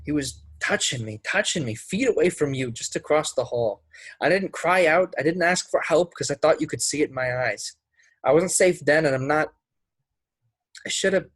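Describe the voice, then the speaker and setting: A man playing a wounded, anguished young man confessing in halting fragments in an amateur play readthrough. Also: a little high, breathy edge, dry recording. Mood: accusing, hurt.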